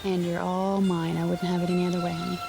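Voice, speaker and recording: A woman's voice: fairly low pitch, little pitch movement, fast talker, vocal fry. An actress playing an affectionate, practical young honeymooning wife in a radio drama, coaxing and reasoning with her husband. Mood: affectionate, cheerful. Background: music under the voice.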